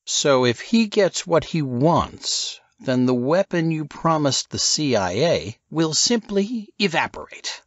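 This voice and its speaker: Audiobook role: doubtful, hesitant male colleague, done by a male reader, very varied pitch, slightly dull sound. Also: sing-song, slow pace, hardly any pauses, dry recording, exaggerated voice. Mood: questioning, uneasy. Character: uneasy, questioning male colleague. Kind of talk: audiobook character dialogue.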